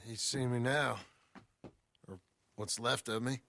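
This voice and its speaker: An actor playing an injured, bitter, self-mocking outlaw with a gravelly voice in a video game cutscene. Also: male voice, medium pitch, fairly even intonation, takes it slowly, fairly bright tone. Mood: weary, bitter.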